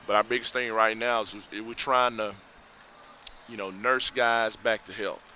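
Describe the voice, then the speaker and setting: Low-voiced coach. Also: male voice, fairly even intonation, sharp tone, slight background noise. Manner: measured, reflective, many fillers. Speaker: head football coach. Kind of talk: postgame interview. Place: outdoors by a school building at night. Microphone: headset mic.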